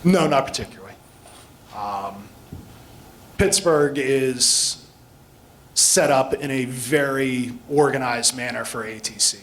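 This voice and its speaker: A man's voice: medium pitch, takes it slowly, long pauses, fairly bright tone. An American man speaking as a guest instructor, explaining things plainly in an informal talk with questions from listeners. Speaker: guest speaker, air traffic control instructor.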